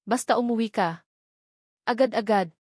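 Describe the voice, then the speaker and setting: A woman's voice: a little high, moderate pace, a little mellow, no echo, lightly acted. Desperate Filipino father acted by a woman, urgent orders, audio drama storybook, dry. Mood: desperate, commanding. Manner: urgent, commanding. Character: desperate, commanding wealthy father on the phone.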